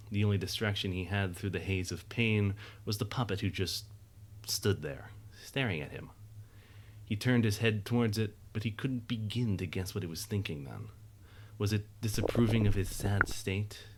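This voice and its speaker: A man with a low voice narrates a scripted story. His delivery is measured and literary with a wry edge, over faint background noise.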